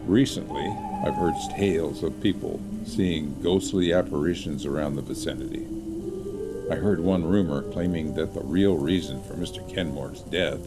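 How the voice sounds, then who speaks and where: A man playing a courteous small-town storyteller in an audio drama, with a folksy, measured, old-fashioned politeness. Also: extremely low pitch.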